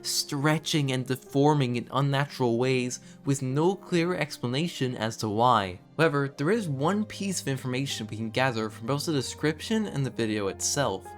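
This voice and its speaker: A man reading scripted commentary in a video essay, with measured storytelling broken by conversational asides. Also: medium pitch. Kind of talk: scripted narration, horror series commentary. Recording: background music under voice. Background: background music.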